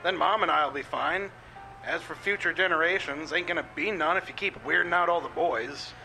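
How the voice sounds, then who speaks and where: A man playing a blunt, folksy middle-aged working-class father in a comic radio play, grumbling and deadpan. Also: a little high, normal speed, vocal fry, soft background music, full character voice, rough recording. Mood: dry, blunt.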